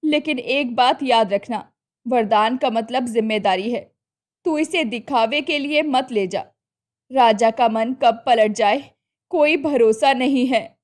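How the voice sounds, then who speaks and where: A woman voicing a wise, caring old village mother in a moral cartoon story, gentle and cautioning. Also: a little high, slow. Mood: worried warning.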